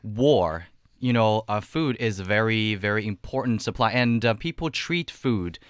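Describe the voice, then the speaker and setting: A man chatting casually as a quiz panelist, answering in a hesitant, halting way. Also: fairly low pitch, expressive, clean tone, dry recording, clean studio sound. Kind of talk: casual chat.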